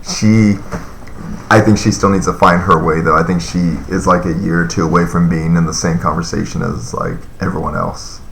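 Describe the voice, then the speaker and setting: A man's voice: sits low, little pitch movement, moderate pace, creaky, a bit bright, reverberant, a little hiss or hum, rough recording. A man in his thirties chatting casually on a live stream, reading and answering viewer comments in a loose, rambling way with lots of fillers. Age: 30s.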